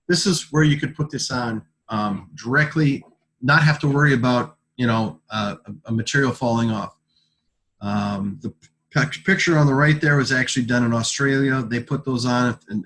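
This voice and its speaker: A man with a mid-range voice presents products in an informal sales talk. His delivery is halting, and he often repeats a word or phrase before carrying on. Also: mid-range voice, a bit bright, rough recording. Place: conference call.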